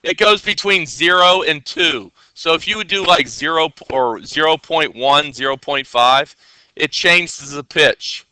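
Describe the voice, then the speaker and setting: A man walks viewers through coding in a loose, chatty way, often stopping to check they're following. His voice is very bright and sharp, with a clean, crisp edge. It is fairly high for a man, and the recording is dry and close. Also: a little slow, no room sound, poor audio. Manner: loose, with many fillers and restarts. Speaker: coding teacher. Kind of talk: casual screen-share tutorial.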